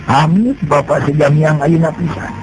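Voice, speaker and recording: A male storyteller voicing an elderly sage who is calm and fatherly, giving solemn, reassuring advice. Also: a little high, normal speed, noisy background, pitched above the performer's own voice, natural voice. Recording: music playing behind.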